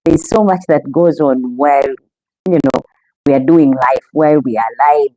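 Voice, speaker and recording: A woman's voice: deep voice, moderate pace, dry recording, low-quality recording. A woman from Uganda, hosting a casual live-stream podcast and greeting viewers in a chatty, welcoming way. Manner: warm welcoming, chatty, talks straight to viewers. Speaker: podcast host.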